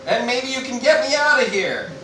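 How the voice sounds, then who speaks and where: A man's voice: very high voice, lively intonation, normal speed, sharp tone, heavily acted. A man playing the ghost of a hapless middle-aged dad in an amateur parody radio play. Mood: eerie, halting. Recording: roomy, slightly distant, faintly noisy.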